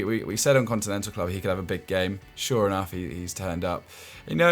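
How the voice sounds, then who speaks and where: A man's voice: lowish voice, a bit bright. A man hosting a casual football analysis chat, often restarting his words and chuckling between points. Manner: stammering restarts and chuckling asides. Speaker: football show host.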